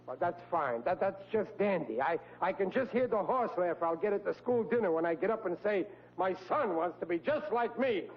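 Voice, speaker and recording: A man's voice: high-pitched, lively intonation, moderate pace, hardly any pauses. An actor playing a blustering, exasperated middle-aged working-class American father, stammering and flustered, in a 1940s radio comedy. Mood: dejected, blustering. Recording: muffled old-time radio recording with hum.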